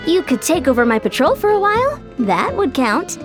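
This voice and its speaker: A woman's voice: high voice, sing-song, moderate pace. A woman voicing a friendly fitness coach who teases playfully and explains sensibly, in video game dialogue. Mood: teasing. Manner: playful teasing, then earnest explaining. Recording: game soundtrack music clearly behind.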